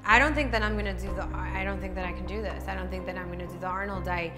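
An American woman in her thirties telling her personal story to camera in a candid, animated way, peppered with "like". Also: fairly low pitch, very fast. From Dominican Republic, raised in northeastern United States. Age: 30s. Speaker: professional bikini fitness competitor. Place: large open indoor living area with kitchen. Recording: reverberant room, music underneath. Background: background music.

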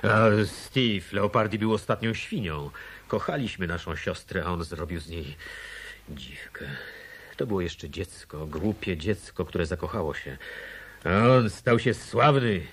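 An actor playing a bitter, guilt-laden hotel auditor confessing in a radio play. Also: male voice, low-pitched, measured pace, fairly bright tone, husky, exaggerated voice.

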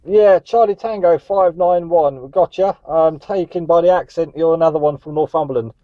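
A man's voice: fairly high pitch, normal speed, no echo. A man from Northumberland in England chatting in a friendly way as a CB radio operator, using radio jargon. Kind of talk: casual radio contact chat. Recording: dull, muffled radio audio.

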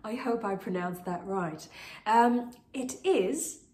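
A woman's voice: mid-range voice, takes it slowly, darkish tone. Earnest plant vlogger woman, recovering voice. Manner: chatty, earnest, with frequent fillers. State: voice still recovering from illness. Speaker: houseplant channel host. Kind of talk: casual product review talking to camera. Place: bright living room full of plants. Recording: roomy, echoey home room.

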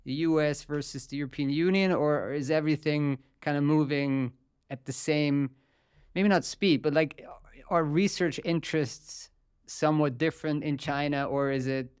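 A man's voice: fairly high pitch, steady tone, moderate pace, darkish tone, smooth, dry recording. A man in his thirties hosting a podcast, asking loose questions and rephrasing them as he goes, on a studio mic in a home office. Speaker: podcast host. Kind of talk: interview questions. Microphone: studio mic on arm.

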